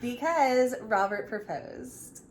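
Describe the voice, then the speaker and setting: A woman in her thirties telling a personal story in a casual, chatty, animated way. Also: on the high side, slow pace. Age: 30s. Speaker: vlog co-host. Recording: clearly noisy room ambience.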